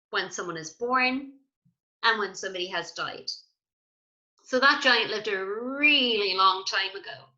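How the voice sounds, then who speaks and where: A woman's voice: fairly high pitch, sharp tone, dull audio. A woman teacher reading a children's story aloud expressively and chatting to young pupils with questions and asides.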